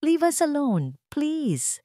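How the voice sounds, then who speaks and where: A woman's voice: high voice, wildly swooping pitch, slow, mellow, no echo, studio quality, voice pushed up, full character voice. A woman voicing a wealthy young male CEO in a drama story, firm, pragmatic and urgent. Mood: stern, firm.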